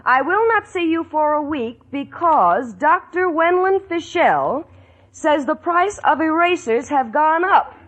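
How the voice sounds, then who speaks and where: A woman's voice: sits high, animated, a little slow, fairly bright tone, some chatter behind. A woman playing a sensible, exasperated young roommate who narrates with dry wisecracks in a 1940s radio comedy. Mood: baffled reading. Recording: noisy old radio broadcast.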